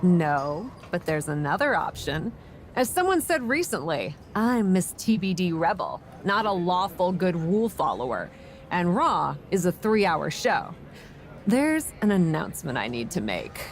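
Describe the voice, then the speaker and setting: A woman's voice: lowish voice, big pitch swings, a little slow, a little hiss or hum. A woman playing a cheeky, self-assured wrestling manager in conversational video game dialogue. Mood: sly, confident. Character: cheeky rule-bending female wrestling manager.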